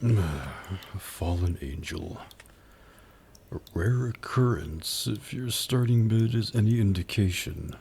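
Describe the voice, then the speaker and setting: Man voicing a slow, very low, haughty demon. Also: expressive, gravelly, a little mellow, a little hiss or hum, heavily acted. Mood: curious, coaxing. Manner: slow, taunting, condescending purr. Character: haughty male demon buyer, predatory, flirty, mocking. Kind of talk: ASMR roleplay acting.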